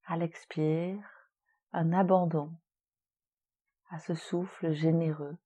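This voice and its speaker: A woman leading a guided relaxation meditation in a soothing, gentle, unhurried manner with long pauses. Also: sits low, steady tone, breathy edge. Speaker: yoga nidra relaxation guide.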